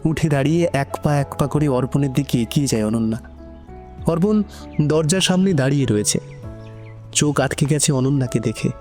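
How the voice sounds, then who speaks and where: A man's voice: mid-range voice, moderate pace, darkish tone, faint music behind. A man narrating an emotional romantic story from a script.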